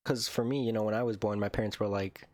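A man's voice: on the low side, steady tone, fast talker, no echo. Young man teasing friends, dry sound. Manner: teasing, talks things through step by step. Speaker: podcast co-host. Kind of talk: casual podcast banter.

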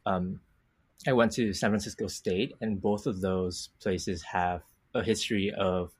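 A man's voice: deep voice, fairly even intonation, a little slow, breathy edge. A young man from Vietnam, a guest on a podcast, talking thoughtfully and unhurriedly about his work, with many filler words. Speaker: podcast guest, comic artist. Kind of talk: casual interview talk.

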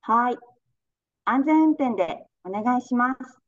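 A young woman acting a gentle girlfriend in a slow, clear radio drama for learners. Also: a little high, lively intonation, noisy background. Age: young adult. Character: Kyoko, young woman leaving for Canada. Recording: dull lo-fi audio.

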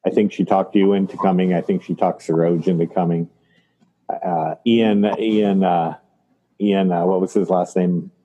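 A man's voice: low-pitched, steady tone, normal speed, highs cut off. A man in his fifties co-hosting a podcast, chatting casually and reflectively with joking asides and frequent restarts. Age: 50s. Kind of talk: casual reminiscing chat.

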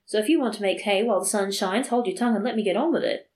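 A woman's voice: medium pitch, rapid-fire, a little mellow, close to an everyday voice. A woman doing a wheedling lustful priest. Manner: wheedling, boastful.

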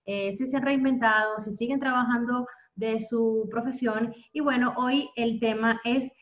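A woman host with a somewhat high voice asks her guest questions in a friendly, enthusiastic way. The sound is a bit dull and lo-fi, as over a video call. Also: fairly even intonation, a little slow. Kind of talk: conversational interview.

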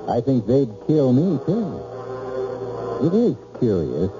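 A man's voice: medium pitch, wildly swooping pitch, takes it slowly. A man hosting a radio mystery with theatrical, suspenseful scripted narration in an ominous manner. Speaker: host of a mystery radio drama. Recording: dull old radio recording. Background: music.

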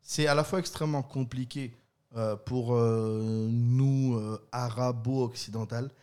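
A man's voice: medium pitch, takes it slowly, clean tone. Man, casual podcast chat, stammering restarts, laughs a lot. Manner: animated storyteller, stammering restarts, laughs often. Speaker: podcast co-host.

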